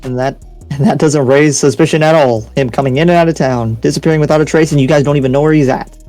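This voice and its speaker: Man playing a mellow-voiced, skeptical interrogator. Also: fairly high pitch, steady tone, fast talker, soft background music, lightly acted. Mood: suspicious, pressing. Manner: pressing, repetitive questioning with lecturing tone. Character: stern, questioning male townsman, skeptical and insistent. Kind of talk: improvised anime Minecraft roleplay.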